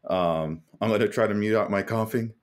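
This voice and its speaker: A man with a cold co-hosts a casual gaming podcast, his voice sitting low and deepened by a sore throat. He talks in a laid-back, easygoing way. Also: a little fast. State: has a cold, voice deeper than usual. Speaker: podcast co-host.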